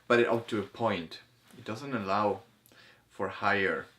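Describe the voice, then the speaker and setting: A man talking casually as host of a discussion, haltingly, often repeating words and restarting his sentences. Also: fairly low pitch, a bit bright. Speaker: host of a spirituality film discussion. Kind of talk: casual conversational commentary.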